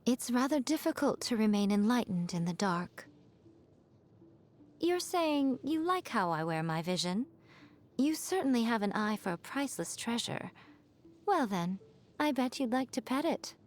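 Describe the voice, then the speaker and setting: A woman voicing a sly, elegant fox shrine maiden for a video game, poised and coy, with a teasing, wryly condescending manner and drawn-out phrasing. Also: on the high side, big pitch swings. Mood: grave, musing, then smug, teasing. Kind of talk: scripted video game character voice lines.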